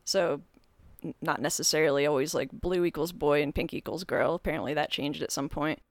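A man's voice: a little high, fairly even intonation, a little fast, no room sound, clean studio sound. A man co-hosting a podcast, explaining things in an easygoing way. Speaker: podcast co-host. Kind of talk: casual discussion.

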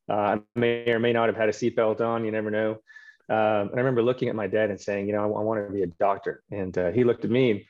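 A man in his fifties from Canada, a surgeon guest in an interview, telling his story in a relaxed, rambling way with many fillers and restarts. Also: on the low side, highs cut off.

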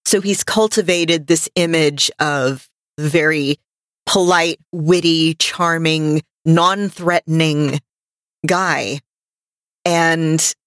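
Female podcast co-host, thirties, lowish voice, unhurried and reflective, halting phrasing, casual discussion. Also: fairly bright tone, dry recording, studio quality.

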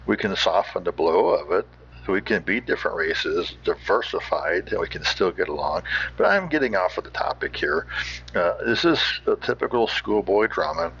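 An American man hosting with casual, opinionated commentary in a folksy, halting, rambling way. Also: fairly low pitch, fairly bright tone, breathy edge, lo-fi sound. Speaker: host.

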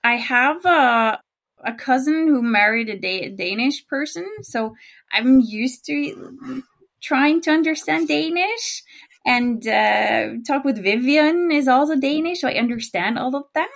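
A woman's voice: high-pitched, expressive, creaky, a bit bright. A Norwegian woman with a Norwegian accent, co-hosting a podcast in casual chat, giggly and talkative. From Norway. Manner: chatty and giggly, talks fast. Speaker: podcast co-host.

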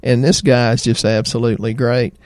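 A middle-aged man with a Southern American accent, a doctor chatting in a folksy, rambling way about health, his voice slightly hoarse. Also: medium pitch, little pitch movement, a little fast, a little mellow, dry recording. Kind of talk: health talk show chat.

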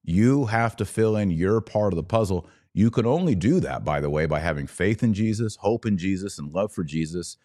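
American man, very low voice. Podcast host, conversational but preachy, talking faith and politics to live viewers. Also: expressive, moderate pace, few pauses, mellow. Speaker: podcast host and religious commentator. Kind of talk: live religious and political commentary, answering viewer comments.

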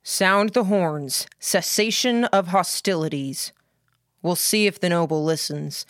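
A woman voicing a quiet, hard, calculating male military commander in an audiobook. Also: fairly low pitch, unhurried, no echo, studio quality, lightly acted. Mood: commanding.

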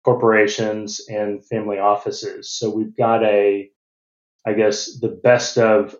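A middle-aged American man giving measured, businesslike interview answers and often restarting his phrases. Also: on the low side, roomy sound, highs cut off. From United States. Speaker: venture capital partner.

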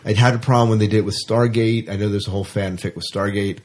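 A man's voice: fairly low pitch, fairly even intonation, fast, a little mellow. A man chatting casually as a podcast co-host, rambling along and often repeating his words and phrases.

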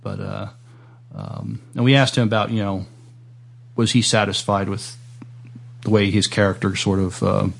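Male podcast host, lowish gravelly voice, casual and agreeable, feeling his way haltingly through sentences. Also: level tone. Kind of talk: casual interview chat.

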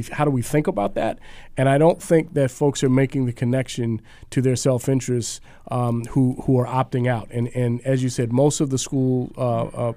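Mellow-voiced man, halting speech. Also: mid-range voice, no echo. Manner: halting, many restarts and fillers. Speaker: panel guest. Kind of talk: casual discussion.

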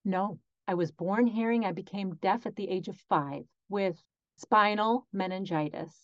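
A woman voicing a guest's answers as an interpreter in an interview, speaking in measured phrases with frequent pauses. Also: medium pitch, no room sound. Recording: dry, slightly dull lo-fi audio.

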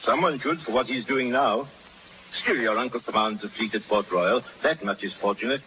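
An actor playing a kindly, worldly elderly lord, musing and gently teasing, in 1930s radio drama style. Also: male voice, medium pitch, moderate pace, close to an everyday voice. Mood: wry, concerned. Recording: tinny, noisy 1930s radio recording.

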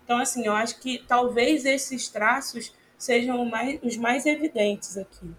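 A woman, giving a reflective interview answer and often pausing and repeating words as she thinks. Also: fairly high pitch, a bit bright, a little hiss or hum. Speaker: community church member.

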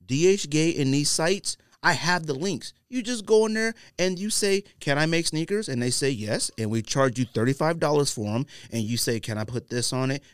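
A man's voice: fairly high pitch, normal speed, hardly any pauses, clean tone, no room sound, studio quality. An American man hosting a podcast, talking casually in slang and acting out imagined quotes as he tells it. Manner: animated, slangy storytelling with acted-out imagined quotes. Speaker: podcast host.

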